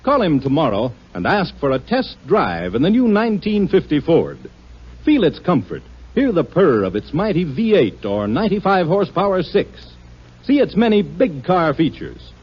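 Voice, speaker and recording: A man reading a 1950s radio car commercial with a smooth salesman delivery. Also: a little high, huge pitch swings, normal speed. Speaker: commercial announcer. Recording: dull old radio broadcast.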